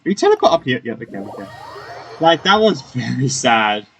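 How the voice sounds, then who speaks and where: A young man giving casual, halting commentary on a video game playthrough, often repeating his words. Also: medium pitch, sing-song, creaky, a bit bright, a little hiss or hum. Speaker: game streamer.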